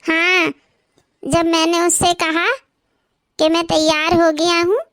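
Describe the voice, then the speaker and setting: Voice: very high-pitched, little pitch movement, slow, fairly bright tone, dry recording, studio quality, exaggerated voice. A performer voicing an exasperated young man who complains to his mother, in a cartoon. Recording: cartoon with music underneath.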